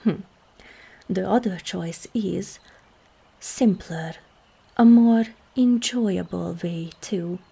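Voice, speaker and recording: A woman playing a seductive, regal demon queen in an ASMR roleplay, calm and commanding, with slow, formal speech that often drops into breathy whispers. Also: mid-range voice, heavily acted. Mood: measured, enticing. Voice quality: breathy, husky.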